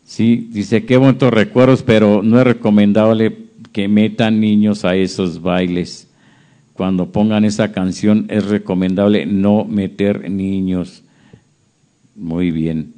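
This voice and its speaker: A man's voice: lowish voice, steady tone, slow pace, warm tone. A man hosting a live stream, chatting casually as he reads out viewer comments and reacts to them. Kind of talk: casual chat.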